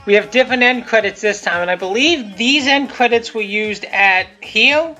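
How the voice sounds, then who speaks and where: A man's voice: high voice, moderate pace, sharp tone. A man giving casual, unscripted commentary as the creator of a video series, rambling and repeating himself. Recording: muffled lo-fi mic, music behind.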